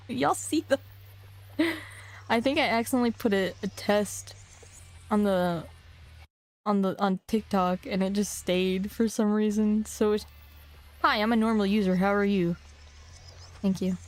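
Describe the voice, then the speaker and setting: A young woman streaming, talking casually to her chat in slang and reading game text aloud, a little hoarse from a cough. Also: medium pitch, fairly even intonation, unhurried, pauses often. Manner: slangy, rambling, talks to chat.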